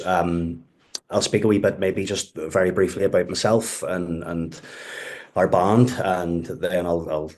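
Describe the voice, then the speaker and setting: A man with a Belfast accent and a very low, deep voice talks at a webinar. He speaks hesitantly, stacking up fillers and starting over, and drops in local words like "wee". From West Belfast, Northern Ireland. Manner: hesitant, many fillers and restarts, says "wee bit". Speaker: webinar guest speaker, community youth worker. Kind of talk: casual spoken talk.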